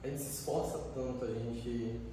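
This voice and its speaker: A man's voice: mid-range voice, steady tone, normal speed, dark tone, slight background noise. A man in his twenties, an educator, talking earnestly and reflectively in a casual conversation. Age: 20s. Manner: reflective, earnest, many fillers. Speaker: youth educator. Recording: echoey room, distant mic.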